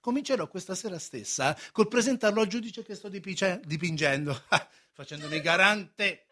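An actor in a radio drama playing a garrulous, sly, self-important court painter, speaking in a theatrical, emphatic and insinuating way. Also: male voice, high voice, normal speed, pushed and bright. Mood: smug, methodical.

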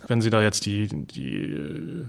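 A man's voice: on the low side, wildly swooping pitch, takes it slowly, gravelly. A man, interviewing casually with hesitant, meandering questions. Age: mid 30s.

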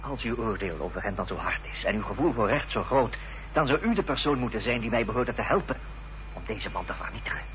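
Male actor as earnest insistent gentleman, formal diction, radio drama, dull old recording, noisy hum. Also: fairly low pitch, animated, quick pace, lightly acted. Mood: grave, accusing. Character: determined, formal gentleman confronting an enemy's accomplice.

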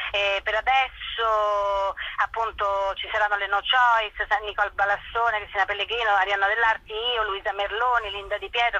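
A woman's voice: mid-range voice, bright, forceful tone, no room sound. A woman being interviewed by telephone, talking casually and hesitantly as she searches for her words. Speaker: actress, interview guest. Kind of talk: casual spoken interview over the phone. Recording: phone call to a radio show.

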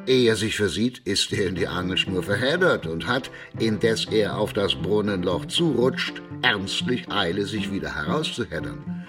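A man narrates a radio drama in a low voice. His storytelling is vivid and theatrical, swinging widely in pitch. Music plays clearly behind him. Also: lively intonation, normal speed.

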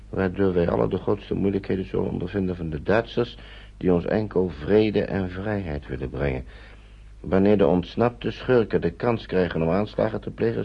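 An actor playing a cautious, hesitant English gentleman in hiding, husky and gravelly, in a radio drama. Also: low voice, exaggerated voice. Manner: hesitant, mumbled repetitions. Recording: dull lo-fi radio recording.

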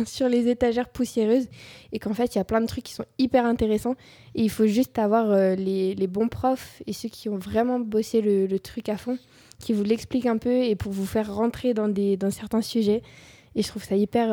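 Young woman, mellow warm voice, halting student chatter, close dry mic. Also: a little high, no echo. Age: young adult. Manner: hesitant, many fillers and restarts. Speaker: co-host, student. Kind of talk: casual radio chat.

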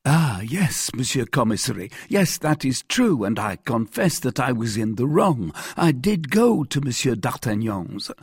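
An anxious, timid husband in an audiobook, played by a man. His voice is raised high and very animated. He pleads and protests with whining earnestness, eager to placate. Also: a little slow, hardly any pauses, husky, no echo, studio quality, full character voice. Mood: anxious, apologetic. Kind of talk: dramatized audiobook reading.

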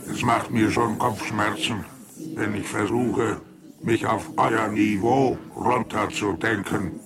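An actor playing a depressed, condescending genius robot in a radio play, sounding gloomy and weary. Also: male voice, fairly low pitch, steady tone, slow, vocal fry, a loud crowd. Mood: gloomy disdain. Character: manic-depressive, brilliant, condescending male robot.

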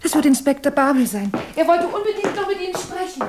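A woman's voice: sits high, sing-song, quick pace, background noise. A woman playing an elegant, earnest lady in a radio drama, speaking expressively and with feeling. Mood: troubled. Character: elegant woman of Spanish descent, earnest and emotional. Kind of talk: German radio drama acting.

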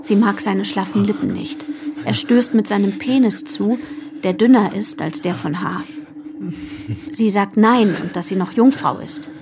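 A woman's voice: mid-range voice, unhurried, noisy background, natural voice. An actress playing a candid young woman in a radio drama reading. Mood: detached, intimate.

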